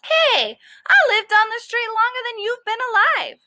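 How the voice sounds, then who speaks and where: A scrappy male dog from a children's storybook, voiced by a woman. He grumbles and boasts defiantly, in a high, forceful voice. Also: sing-song, moderate pace, no echo, exaggerated voice. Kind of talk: animated children's storybook read-aloud.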